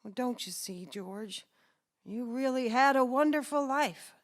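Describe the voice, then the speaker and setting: A woman's voice: fairly high pitch, a little slow, natural voice. A woman playing a kindly, bumbling elderly male angel in an old-time radio play, with a hesitant, apologetic manner. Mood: gentle, solemn.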